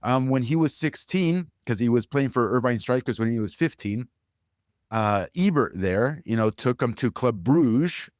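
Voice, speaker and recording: A man's voice: mid-range voice, dry recording, clean studio sound. A man hosting a podcast, talking casually and with opinion in an informal conversational way. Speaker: podcast host.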